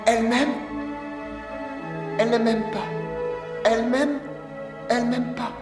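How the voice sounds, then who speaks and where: A woman's voice: a little high, steady tone, takes it slowly. A woman voicing a small, lovesick young male cartoon hero with an earnest manner, in a reverberant recording. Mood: lovesick, dreamy. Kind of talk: cartoon dub acting. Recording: echoey hall-like reverb under music.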